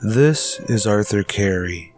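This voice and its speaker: An actor playing a solemn, ominous horror anthology announcer, delivering grave, deliberate retro radio narration. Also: male voice, lowish voice, animated, over music. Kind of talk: old-time radio scripted narration.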